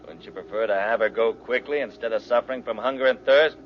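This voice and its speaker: An actor playing a cold, gloating space villain with a threatening manner in an old-time radio serial. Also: male voice, medium pitch, fast talker, natural voice. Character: cold, menacing space villain with a ray gun. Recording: dull, noisy old radio recording.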